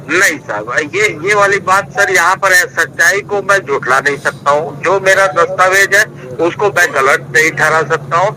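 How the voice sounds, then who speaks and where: A man's voice: high-pitched, moderate pace, pushed and bright. An official answering a phone call, heard through a speaker at a protest. He defends himself and keeps repeating "sir" and that the papers were already given. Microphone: phone line played over a speaker.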